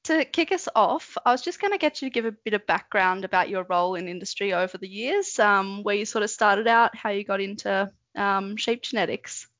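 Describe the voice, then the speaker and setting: A woman's voice: medium pitch, a little fast, few pauses, fairly bright tone, no room sound, muffled recording. Woman hosting a podcast. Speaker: podcast host. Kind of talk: scripted podcast intro and interviewing.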